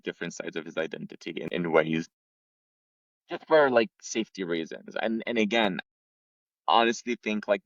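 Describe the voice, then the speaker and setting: Deep-voiced man, casual and reflective. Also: no room sound, lo-fi sound. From Lebanon. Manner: relaxed and reflective, many "like" fillers. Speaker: podcast guest sharing travel stories. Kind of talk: casual conversation.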